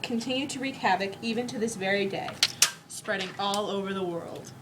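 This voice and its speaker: A woman's voice: mid-range voice, a little fast. A woman narrates a puppet show in a scripted fairy-tale storytelling style, on an amateur recording. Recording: clearly noisy amateur recording.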